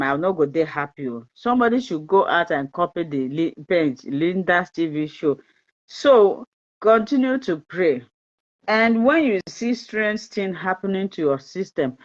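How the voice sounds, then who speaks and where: A Nigerian woman whose first language is Igbo, hosting a live-stream fertility talk in a chatty, repetitive way and slipping into pidgin. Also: fairly low pitch. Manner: chatty, repeats herself, mixes in pidgin, laughs often. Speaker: fertility channel host. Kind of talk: live-stream advice talk, reading viewer comments. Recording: dull lo-fi live-stream audio.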